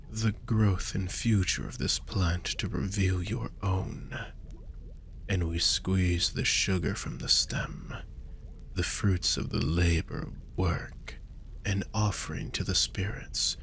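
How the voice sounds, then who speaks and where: A man playing a suave, possessive vampire fortune teller in an intimate audio roleplay, speaking formally and theatrically with breathy murmurs. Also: low-pitched, a bit bright, slight background noise, heavily acted. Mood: solemn, mystical. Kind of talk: intimate scripted audio roleplay addressed to the listener.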